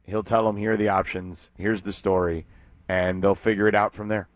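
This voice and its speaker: A man co-hosting a morning sports radio show, arguing his points after halting, stammered restarts. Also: lowish voice, little pitch movement, no echo.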